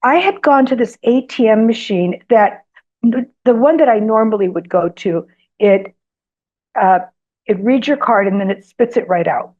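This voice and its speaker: A woman whose first language is Greek tells personal stories as a guest in an interview. She pauses often with ums, then grows animated as the stories build. The recording sounds slightly dull and lo-fi. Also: a little high. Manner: hesitant, lots of ums, animated when telling anecdotes. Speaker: guest author. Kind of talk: storytelling in an interview.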